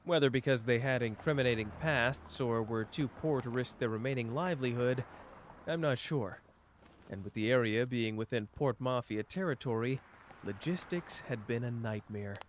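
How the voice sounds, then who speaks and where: A man's voice: mid-range voice, unhurried, a little hiss or hum, close to an everyday voice. A man playing a teasing young detective. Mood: calm questioning. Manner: playful, teasing, jumps between soft and excited. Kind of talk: ASMR roleplay.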